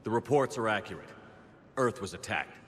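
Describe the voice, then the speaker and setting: A man's voice: fairly low pitch, animated, moderate pace, a bit bright, in a large hall, slight background noise. A man playing a resolute, earnest military commander in a video game, terse and firm. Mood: resolute, urgent. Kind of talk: dramatic video game acting.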